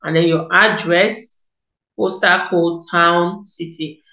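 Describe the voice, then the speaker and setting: A woman's voice: on the low side, steady tone, slow pace, pushed and bright. A young woman from Cameroon walking viewers through an online application form, explaining each step with repeats and fillers. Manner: explanatory, repeats key points, many fillers. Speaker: education consultant, tutorial host. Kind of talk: screen-recorded tutorial walkthrough. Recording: echoey room.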